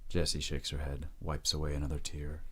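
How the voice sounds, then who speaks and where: Very deep-voiced male narrator. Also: completely flat delivery, moderate pace, slightly rough. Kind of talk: scripted drama narration.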